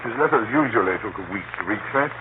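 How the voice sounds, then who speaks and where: An actor playing a hearty, shrewd senior official in a 1930s radio drama. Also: male voice, lowish voice, lively intonation, fast talker, lightly acted. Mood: shrewd, businesslike. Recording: scratchy, tinny 1930s radio broadcast.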